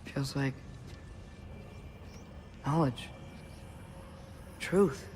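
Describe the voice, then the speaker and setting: A slow-spoken, wary young hero. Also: male voice, mid-range voice, background noise. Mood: curious. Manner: hesitant, questioning, earnest. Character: curious, wary young male apprentice hero. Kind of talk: cinematic video game acting. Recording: music under the voice.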